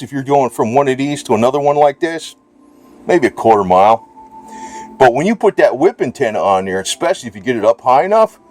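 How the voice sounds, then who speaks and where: An average-pitched man gives a casual, rambling review, as if for a home video. His talk is colloquial and slangy, loosely strung together, and it is recorded indoors. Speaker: video creator.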